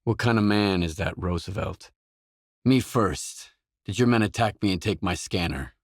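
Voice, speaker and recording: An actor playing a gruff, guarded, hard-bitten mercenary in a video game, speaking in terse, blunt, businesslike lines. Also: male voice, sits low, moderate pace, no room sound, clean studio sound.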